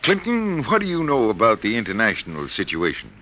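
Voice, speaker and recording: An actor playing an irritable, troubled middle-aged American college professor in a hesitant, muttering, old-time radio drama style. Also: male voice, on the low side, huge pitch swings, a little fast, pushed and bright, a little hiss or hum, heavily acted. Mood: weary, apologetic. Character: absent-minded, troubled, irritable male college psychology professor. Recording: muffled vintage radio recording.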